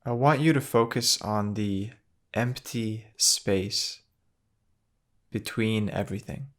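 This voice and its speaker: Male meditation guide, lowish pitch, very unhurried delivery, gentle and measured with generous pauses. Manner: gentle, measured, with long pauses between phrases.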